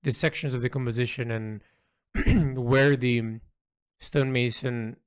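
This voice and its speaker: A man narrates a personal artist's log in a reflective way. He speaks slowly, pausing and feeling his way through each thought with halting phrasing. The recording is dry, with hardly any room around the voice. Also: mid-range voice, fairly even intonation, clear, resonant tone, no echo. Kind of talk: reflective spoken audio log.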